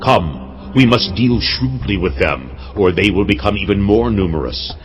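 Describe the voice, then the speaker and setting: Man acting a deep-voiced, commanding Pharaoh. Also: animated, normal speed, dull audio, faint music behind, lightly acted. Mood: wary, scheming. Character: Pharaoh, commanding, shrewd ruler of Egypt. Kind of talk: dramatized audio Bible acting.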